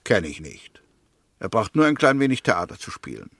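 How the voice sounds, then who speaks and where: A man's voice: lowish voice, very animated, normal speed, fairly bright tone, dry recording, heavily acted. A man acting a stern, sceptical prosecuting lawyer in a 1960s German radio drama, formal and challenging. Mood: sceptical, narrating. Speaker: actor in a radio play.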